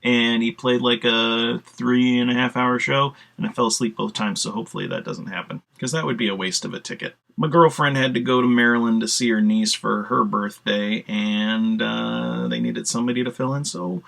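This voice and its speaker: A man's voice: mid-range voice, fairly even intonation, moderate pace, vocal fry, few pauses, a bit bright. A man chatting casually on his video blog, rambling with lots of "uh" fillers and casual swearing. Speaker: video blogger. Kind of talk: casual solo vlog talk.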